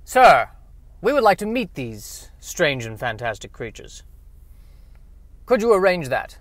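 A man puts on a proper gentleman scientist from a fifties sci-fi radio spoof. He is bossy and easily outraged. His delivery is emphatic and pompous. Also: a little high, big pitch swings, unhurried, no echo. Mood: indignant, commanding. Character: bossy, indignant 1950s male scientist hero, proper gentleman. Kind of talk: spoof 1950s radio acting.

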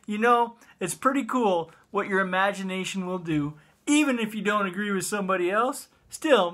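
A middle-aged American man talks to children as a storytime host. His voice is bright and forward, with an unhurried pace and lively swings in pitch. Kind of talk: friendly storytime talk to children. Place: small indoor room with bookshelves.

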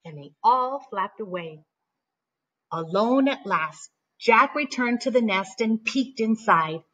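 A middle-aged woman reads a children's story aloud. Her voice is high and bright, and she takes her time, shaping each phrase expressively and deliberately. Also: expressive, dull audio. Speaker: teacher reading aloud to children. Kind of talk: animated storybook read-aloud. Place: living room at home, indoors.

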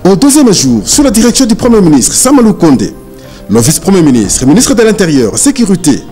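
A man's voice: high voice, big pitch swings, brisk, mellow, faint music behind. A man reading scripted news narration as a reporter.

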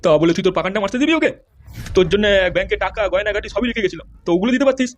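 A man's voice: high-pitched, rapid-fire, slight background noise, natural voice. A man voicing a furious, foul-mouthed politician husband in a comedy cartoon, scolding and accusing. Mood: bitter, scolding.

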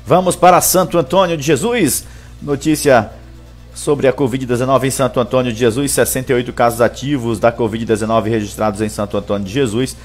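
A man's voice: mid-range voice, fairly even intonation, a little fast, faint music behind. A man from Brazil, presenting a local news bulletin live on a headset microphone. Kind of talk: reading local news, greeting viewers live.

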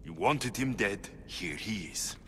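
A man's voice: on the low side, animated, takes it slowly, fairly bright tone, slight background noise. A man playing a tough, blunt ancient Greek mercenary warrior in a video game cutscene, defiant and matter-of-fact. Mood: curt. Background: music.

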